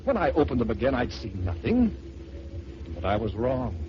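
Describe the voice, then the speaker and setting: A man narrating a first-person horror story in dramatic old-time radio style, swelling and dropping to hushed tones. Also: mid-range voice, sing-song, normal speed. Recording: dull lo-fi old radio recording with hiss.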